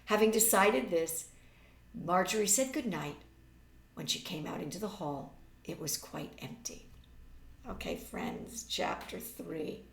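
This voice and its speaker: A woman's voice: lowish voice, unhurried, vocal fry. A middle-aged woman teacher reading a story aloud at home. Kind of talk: story read-aloud with brief teaching asides. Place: living room or home office, indoors. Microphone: webcam at a little distance. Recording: roomy, slightly distant webcam sound.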